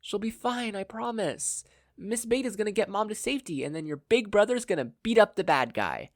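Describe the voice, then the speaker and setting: Man voicing a higher-pitched, caring teenage brother. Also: animated, fairly quick, no room sound, studio quality. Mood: comforting, resolute. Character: caring, determined teenage big brother, protective and comforting.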